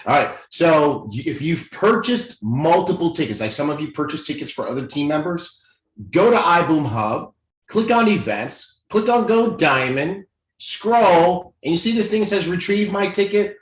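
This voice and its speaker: A man's voice: a little high, expressive, normal speed. A man co-hosting a company livestream, enthusiastic and easygoing, with folksy sayings. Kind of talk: casual promotional livestream chat. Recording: echoey room.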